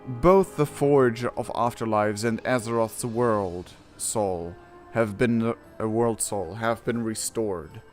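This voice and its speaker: A man's voice: lowish voice, slow, few pauses. A man doing casual, rambling reaction commentary as a gamer, sometimes acting out mock quotes. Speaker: gamer reacting on his channel. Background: faint game music.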